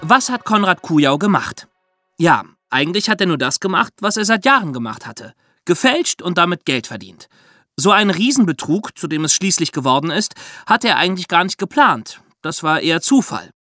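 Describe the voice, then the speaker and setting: A man's voice: sits high, very animated, normal speed, sharp tone, no echo, studio quality, soft background music. A man hosting a podcast, telling a true-crime story in a colloquial, explanatory way. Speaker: podcast host.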